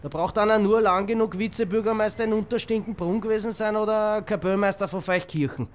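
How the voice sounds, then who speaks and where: A self-important Austrian grumbler played by a man in a radio drama. His voice sits fairly high for a man, and he complains with indignant sarcasm. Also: fairly even intonation, a little fast, close to an everyday voice. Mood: aggrieved.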